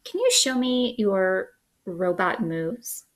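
A woman in her thirties hosting a children's story time, reading aloud in an expressive, sing-song way at home. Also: mid-range voice, slow. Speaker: children's librarian, storytime host. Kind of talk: picture book read-aloud for young children. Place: small living room indoors.

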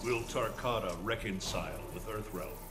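A man's voice: fairly low pitch, natural voice. Man acting as solemn warrior god, stern, formal declamation, video game drama, music underneath. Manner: formal, grave, measured declamation.

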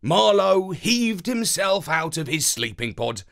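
An excitable, big-hearted space dog telling his storybook adventures, played by a man. The voice is fairly high and unhurried, theatrical and enthusiastic, swooping dramatically up and down. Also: gravelly, full character voice. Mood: storytelling. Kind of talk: scripted children's story narration.

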